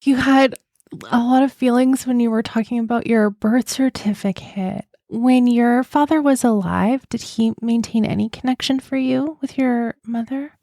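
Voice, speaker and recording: A woman hosting an adoptee podcast, asking questions gently and empathetically. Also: a little high, steady tone, moderate pace, no room sound, clean studio sound. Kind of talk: interview host.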